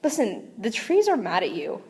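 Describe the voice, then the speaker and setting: A woman's voice: fairly high pitch, huge pitch swings, normal speed, breathy edge, heavily acted. A woman voicing a blunt, eager young girl wizard while reading a book aloud, close to her own voice.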